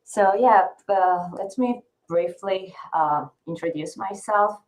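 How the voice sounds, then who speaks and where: A woman's voice: mid-range voice, slow. A woman in her thirties from Turkey, a university professor presenting her teaching experience in a webinar, with frequent filler sounds. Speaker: guest speaker, assistant professor.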